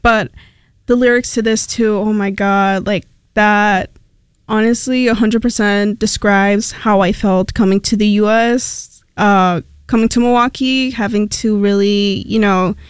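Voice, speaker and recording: A young woman from Mexico talks as a guest on a radio interview, rambling casually with fillers and restarts. Her voice is smooth and clear. The studio sound is dry and close. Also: medium pitch, steady tone, measured pace, no echo. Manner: chatty, many fillers and restarts.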